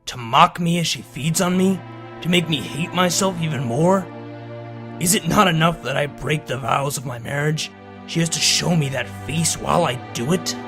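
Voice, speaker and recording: A man's voice: a little high, moderate pace, over music, natural voice. A man voicing a guilt-ridden, self-loathing old married stallion in a story reading, brooding and sorrowful. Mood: bitter self-loathing.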